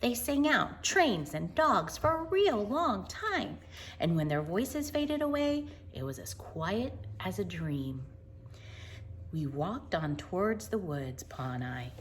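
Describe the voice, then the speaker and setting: An American woman reading a storybook aloud to children in an informal, chatty and expressive way. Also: mid-range voice, slow, a little hiss or hum. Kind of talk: storybook read-aloud with casual asides to children.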